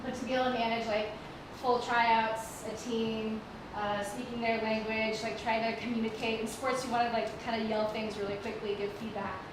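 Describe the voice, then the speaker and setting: A woman's voice: a little high, little pitch movement, moderate pace. A woman giving a casual live talk to an audience, chatty and full of filler words. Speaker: guest presenter. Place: stage in a performing arts hall. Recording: echoey hall from far off, noisy. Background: audible background noise.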